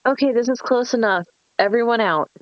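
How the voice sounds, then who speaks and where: A woman's voice: fairly high pitch, fairly quick, dull audio, close to an everyday voice. A woman playing a bossy, sarcastic young woman scheming a prank in a screenplay table read. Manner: sarcastic, foul-mouthed, commanding.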